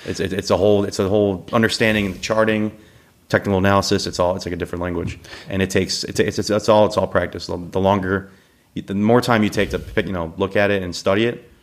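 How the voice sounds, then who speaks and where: A man's voice: low-pitched, fairly even intonation, quick pace. A man whose first language is Italian, chatting casually about trading on a podcast. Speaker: guest, day trader.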